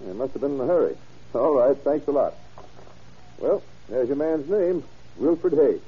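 Husky, animated small-town banker, old radio. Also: male voice, medium pitch, normal speed. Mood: musing, obliging. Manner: hesitant, thinking aloud as he recalls. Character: helpful, chatty small-town banker recalling details. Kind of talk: old radio drama acting. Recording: dull vintage radio drama recording.

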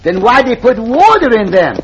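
An actor playing a bumbling, excitable Mexican cowboy sidekick with an accent, stammering in broken English in an old-time radio western. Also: male voice, very high-pitched, moderate pace, exaggerated voice. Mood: puzzled, earnest. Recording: dull vintage radio recording, faint noise.

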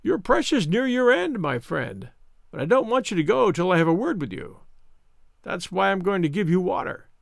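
A man's voice: high-pitched, very animated, normal speed, creaky, dry recording, studio quality, exaggerated voice. A man voicing a smug, cruel villain who taunts and sneers in a dramatized audiobook reading. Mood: cruel, taunting. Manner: taunting, insistent, mock-concerned.

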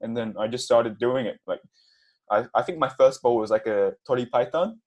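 A young man in his twenties, a podcast guest who speaks in a nervous, halting way with frequent stumbles. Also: medium pitch, darkish tone. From Australia. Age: 20s. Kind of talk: casual interview.

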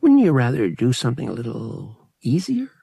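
A man's voice: medium pitch, huge pitch swings, moderate pace, creaky, warm tone, husky, no room sound, studio quality, exaggerated voice. A man voicing a roguish young male pilot in an audiobook, casual and coaxing, with a sceptical streak. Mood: casual, probing. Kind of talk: audiobook character acting.

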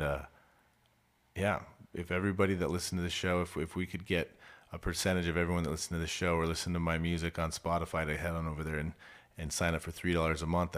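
Man, podcast host, very low voice, easygoing rambling talk. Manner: relaxed, conversational, rambling plug. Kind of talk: casual podcast chat.

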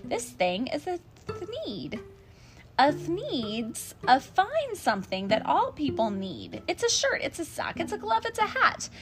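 A woman's voice: wildly swooping pitch, unhurried, music in the background, full character voice. A woman reading a storybook as an eager, greedy male inventor, emphatic and self-justifying in the way of a salesman. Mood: defensive, boastful. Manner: emphatic, pitching, dismissive.

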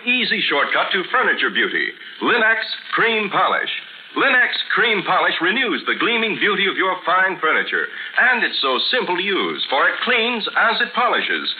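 An American man working as a 1940s radio announcer, with a polished, emphatic sales delivery. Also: high-pitched. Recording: muffled, slightly noisy 1940s radio broadcast.

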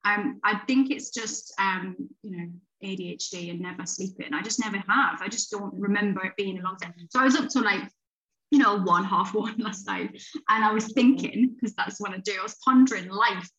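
A woman in her late thirties talks loosely as a podcast guest, circling back and restarting her sentences as her thoughts unfold. Her pitch sits in a plain middle range. The recording sounds a little dull and lo-fi. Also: moderate pace, fairly bright tone. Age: 30s. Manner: rambling, restarts often, laughs while talking. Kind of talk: casual conversation.

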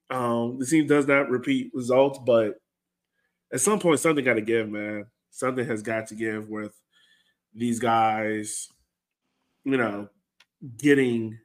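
A man's voice: medium pitch, a bit bright. Casual male sports podcast host. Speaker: host of a soccer fan podcast.

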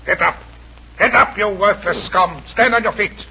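An actor playing a cruel, pompous Japanese army officer in a 1940s radio adventure serial, menacing and mockingly formal. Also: male voice, high-pitched, normal speed. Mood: barking, contemptuous. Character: cruel, sneering Japanese army major, villain. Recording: noisy old radio broadcast.

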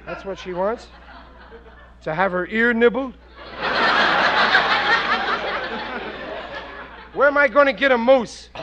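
High-voiced, blustery radio husband. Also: male voice, lively intonation, moderate pace, highs cut off. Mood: baffled, grumbling. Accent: American. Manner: literal-minded, plaintive, slangy. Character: dim, blustery, middle-aged married working man. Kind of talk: 1940s-50s radio comedy acting. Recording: band-limited, faintly noisy old radio recording.

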